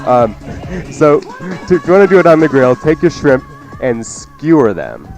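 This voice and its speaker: A man's voice: a little high, very animated, moderate pace, vocal fry, darkish tone, lo-fi sound. Playful man talking cooking over music. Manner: joking, teasing, campy. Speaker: cooking show host. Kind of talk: casual instructional cooking talk.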